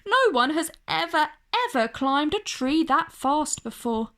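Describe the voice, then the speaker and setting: A woman puts on a girl monkey from a children's storybook, pitched high and exclaiming. She is a puzzled but loyal friend, blunt and matter-of-fact as she reassures. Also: expressive, unhurried, a bit bright, heavily acted. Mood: amazed.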